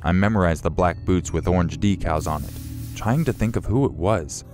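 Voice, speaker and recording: A man narrating a true-style horror story in a slow, measured, somber way. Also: deep voice, darkish tone. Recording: faint music behind.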